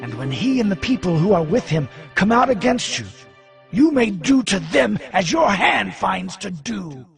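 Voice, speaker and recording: A scheming biblical messenger in an audio drama. The voice is high for a man and rises and falls sharply, urgent and declamatory, with music underneath. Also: sing-song, moderate pace, vocal fry, exaggerated voice. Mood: urgent warning.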